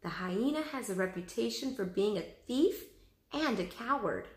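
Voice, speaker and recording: A woman's voice: medium pitch, animated, normal speed, echoey room, natural voice. A woman reading the part of an earnest, cautious young boy adventurer in a storybook, explaining things emphatically.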